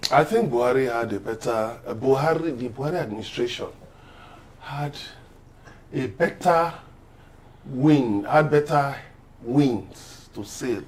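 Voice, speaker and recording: A Nigerian man with a medium-pitched voice gives economic and political commentary as a guest analyst. He is emphatic and often repeats a phrase to drive it home. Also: measured pace. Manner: emphatic, repeats points for stress.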